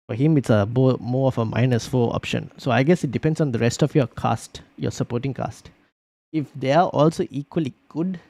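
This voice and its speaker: A man with a gravelly, creaky voice hosts a casual live stream. He answers viewer questions loosely and thinks out loud, stopping to restart his sentences. The sound is close and dry, with no room echo. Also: medium pitch. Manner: thinks aloud, reads viewer comments, many fillers and restarts. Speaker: fantasy football streamer.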